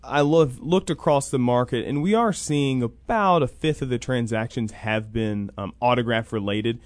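A man's voice: mid-range voice, normal speed, dry recording. A man speaking as an interview guest on a radio talk show, explaining things at length in careful, qualified points. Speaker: interview guest, college athletics program coordinator.

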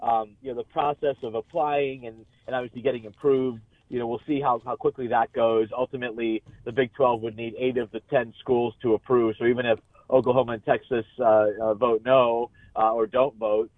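A man comes through on a dull, band-limited line, dry and free of room sound. He speaks as a sports journalist guesting on a radio show, halting and repeating words as he lays out his analysis. His pitch sits in the middle for a man. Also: level tone, dry recording. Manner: halting, repeats words, frequent "you know". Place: remote call into a radio show.

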